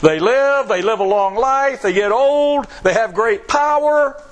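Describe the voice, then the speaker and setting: Male preacher, high voice, slow deliberate delivery, repeats key lines, reads scripture. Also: expressive. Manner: emphatic, repeats phrases for stress; reads scripture aloud in King James wording. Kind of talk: sermon.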